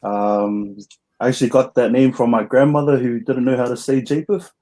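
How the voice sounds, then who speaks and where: A man's voice: mid-range voice, steady tone, moderate pace, warm tone. A young man from New Zealand with a New Zealand accent, telling his story as an interview guest in an easygoing, plain way.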